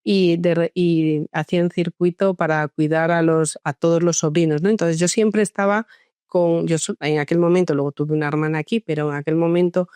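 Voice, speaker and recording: A woman psychologist born in France, telling her story in a relaxed interview with many hesitations and restarts. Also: lowish voice, moderate pace, smooth, dry recording. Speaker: guest psychologist. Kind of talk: conversational interview.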